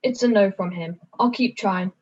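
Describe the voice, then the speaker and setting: A woman's voice: medium pitch, normal speed, darkish tone, natural voice. A woman playing an eager, scatty puppet in a scripted comedy, recorded over an online call. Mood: disappointed. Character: eager, scatty puppet show member interrupting. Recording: slightly dull online-call sound.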